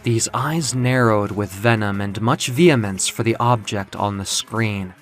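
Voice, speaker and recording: A man narrating a dark fiction story in a dramatic, theatrical and deliberate way, with music behind him. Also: lowish voice. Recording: music under the voice.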